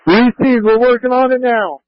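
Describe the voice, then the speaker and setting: A man working as a fire incident commander, passing brisk orders and updates over a two-way radio. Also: extremely high pitch, heavy background noise. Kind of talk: fireground radio traffic. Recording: muffled lo-fi emergency scanner radio.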